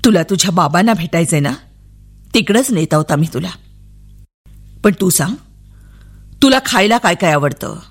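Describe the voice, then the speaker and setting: A woman voicing a gentle male police officer who sweet-talks a child in measured speech, in a dramatic story. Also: fairly low pitch, lively intonation, breathy edge, long reverb tail, voice pushed down. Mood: coaxing.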